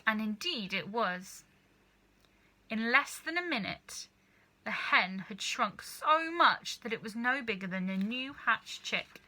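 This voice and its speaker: A woman reading a children's story aloud as narrator, with expressive scripted narration. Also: fairly high pitch, slow, low-quality recording. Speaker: storybook reader.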